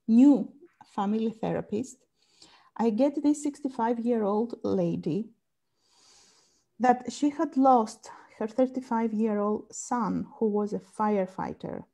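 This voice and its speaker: A woman's voice: medium pitch, vocal fry, dark tone. A woman from Greece with a Greek accent, a family therapist answering interview questions thoughtfully and searching for words in English. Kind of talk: interview conversation.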